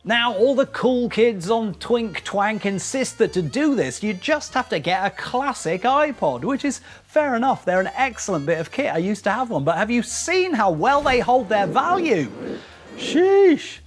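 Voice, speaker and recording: A man's voice: high voice, very animated, unhurried. A middle-aged man narrating a scripted vlog as host, chatty and self-deprecating, with wry asides. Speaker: YouTube host.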